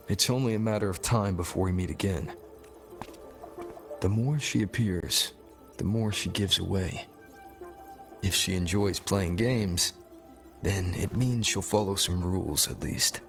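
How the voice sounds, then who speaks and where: A man voicing a calm, steady wanderer hero in a game, speaking in short, even replies. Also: low voice, a little slow, pauses often, soft background music. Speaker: player character. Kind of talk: game cutscene voice acting.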